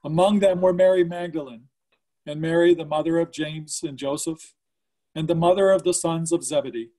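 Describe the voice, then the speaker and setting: A man's voice: on the high side, steady tone, moderate pace, dark tone, low-quality recording. A man giving a dramatic reading of scripture as one of several readers.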